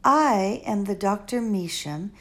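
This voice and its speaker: A woman's voice: mid-range voice, expressive, takes it slowly, a bit bright. A woman doing an eccentric old lady philosopher. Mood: musing. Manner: stilted formal phrasing, musing. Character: eccentric elderly female philosopher, old-world formal. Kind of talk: audiobook character reading.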